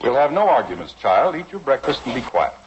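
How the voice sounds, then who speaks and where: An actor playing an earnest, stern, principled middle-aged American father in a measured, lecturing 1950s radio drama style. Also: medium pitch, sing-song, highs cut off, lightly acted. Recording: noisy vintage 1950s radio broadcast.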